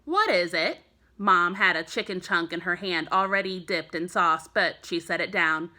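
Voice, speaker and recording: A woman teacher narrating a children's book aloud, telling the story clearly for young listeners. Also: fairly low pitch, steady tone, normal speed, pushed and bright. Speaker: elementary school teacher.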